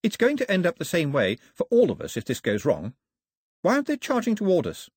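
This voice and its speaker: Man voicing a high-pitched, plucky girl. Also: wildly swooping pitch, brisk, darkish tone, breathy edge, no room sound, full character voice. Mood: insistent. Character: plucky, proper young English girl, sharp-tongued and questioning.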